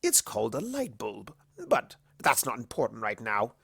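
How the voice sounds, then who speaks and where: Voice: medium pitch, huge pitch swings, normal speed, creaky, fairly bright tone, slightly rough, dry recording, studio quality, full character voice. A narrator voicing a sly, theatrical male prankster creature of chaos in a dramatic, teasing, smug way for a cartoon fan story. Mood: dismissive.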